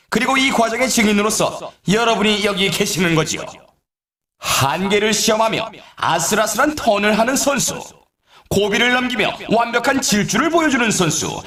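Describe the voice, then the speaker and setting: A man playing an excitable, showy snowboard race announcer in a video game, delivering scripted sports commentary in a dramatic style. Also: high-pitched, exaggerated voice. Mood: grand, confident, then dramatic, admiring. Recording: roomy echo, slightly distant mic.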